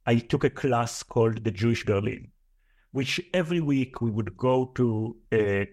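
A man in his thirties with an Israeli accent, a guest scholar answering interview questions thoughtfully, with many fillers. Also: mid-range voice. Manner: thoughtful, listing at length with fillers.

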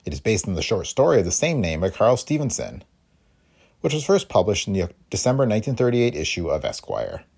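A man hosts a podcast with a scripted introduction. His voice is low and full of fry, with a husky edge. His intonation moves around in a lively way. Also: a little fast. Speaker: podcast host.

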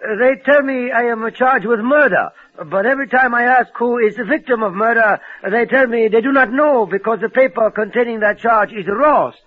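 An actor playing a bewildered, aggrieved Japanese prisoner, a former wrestler, in a 1940s radio drama. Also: male voice, very high voice, moderate pace, few pauses. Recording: tinny, band-limited old radio recording.

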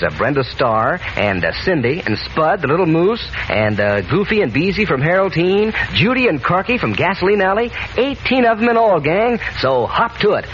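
A male radio announcer pitching to kids in folksy 1940s style. His voice sits fairly high and is very lively, on a muffled vintage recording with faint noise. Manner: folksy, enthusiastic salesmanship. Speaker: sponsor announcer. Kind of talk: 1940s radio cereal advertisement for children.

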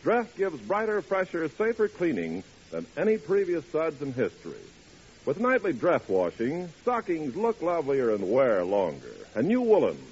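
A man's voice: on the high side, wildly swooping pitch, normal speed, a little mellow. A man announcing on 1940s radio, with polished, emphatic commercial salesmanship. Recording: muffled, hissy 1940s radio recording.

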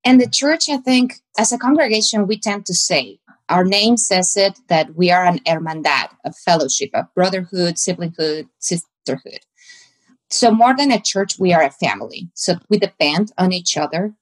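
A woman's voice: lowish voice, animated, moderate pace, low-quality recording. A woman pastor from Mexico with a Mexican Spanish accent, answering interview questions in a reflective way and sometimes searching for words.

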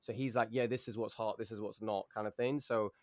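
A man's voice: on the low side, a little fast, no room sound. English singer guy chatting easy, laughs a lot, dead dry mic. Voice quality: relaxed storytelling, laughs often. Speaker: singer guest. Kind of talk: casual interview chat.

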